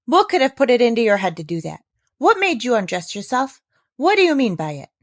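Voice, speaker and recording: A woman's voice: fairly high pitch, very animated, brisk, pitched above the performer's own voice. A woman voicing an exasperated, indignant aunt who scolds emphatically in a storybook read-aloud. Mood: angry. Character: scolding, exasperated young aunt in a children's classic.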